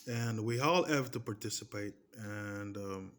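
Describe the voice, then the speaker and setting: A man's voice: on the low side, unhurried. A man in his thirties whose first language is Portuguese, speaking English with a Portuguese accent in a casual personal monologue. He talks emphatically, restarting phrases and pressing his points with repeated checks like "okay?". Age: 30s. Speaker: vlogger.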